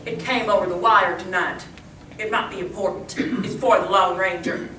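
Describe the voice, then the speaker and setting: A woman's voice: mid-range voice, fairly even intonation, moderate pace, sharp tone, lightly acted. A woman playing an earnest telegraph messenger in an old-time radio western. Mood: urgent, earnest. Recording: echoey room from far off, faint noise.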